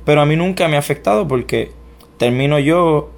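A man's voice: on the high side, fairly quick, gravelly. A young adult man with a Puerto Rican accent, telling his life story as an interview guest, with many restarts and a self-deprecating manner. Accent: Puerto Rican Spanish. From Puerto Rico. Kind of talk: personal testimony interview.

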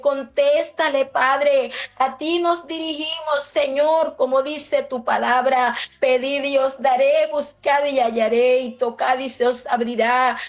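A woman prays aloud over the radio in a fairly high voice. She keeps to a narrow, even tone and a slow, deliberate pace, piling up short invocations one after another. The delivery is fervent and devotional. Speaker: radio prayer leader.

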